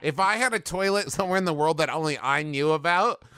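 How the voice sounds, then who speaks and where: High, bright-voiced male comedy podcaster. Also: quick pace, clean tone, dry recording, clean studio sound. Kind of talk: casual comic riffing.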